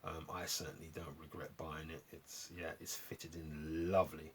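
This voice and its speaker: A man hosting a vlog, chatting casually and appreciatively in a rambling, gentlemanly way as they review records. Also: very deep voice, level tone, moderate pace, slight background noise. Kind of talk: casual album review chat to viewers.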